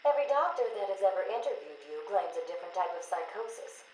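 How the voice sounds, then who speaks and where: An actress playing a stern, confident asylum psychiatrist in a video game, speaking in a clinical, no-nonsense way. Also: female voice, medium pitch, lively intonation, fast talker, darkish tone, lightly acted. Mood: confident challenge. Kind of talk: video game dramatic dialogue. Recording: echoey room, faint noise.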